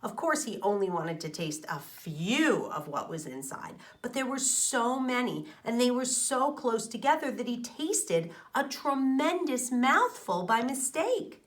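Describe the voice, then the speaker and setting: A woman teacher reading a children's storybook aloud, expressive, explaining things to young listeners and asking them questions. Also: on the high side, unhurried, few pauses.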